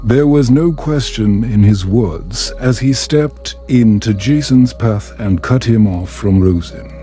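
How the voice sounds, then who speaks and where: A man narrating a scripted bedtime story in a calm, soothing, measured manner. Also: medium pitch. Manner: slow, soothing, measured delivery. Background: music.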